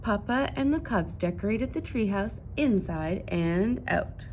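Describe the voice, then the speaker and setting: A woman narrating a children's picture book aloud in an expressive storytelling style. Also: mid-range voice, measured pace, slight background noise, low-quality recording.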